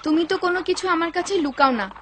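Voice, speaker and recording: Quick, high-voiced curious wife. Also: female voice, fairly bright tone, a little hiss or hum. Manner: quick, questioning. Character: curious, polite wife of a poor woodcutter. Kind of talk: Bengali-dubbed cartoon acting.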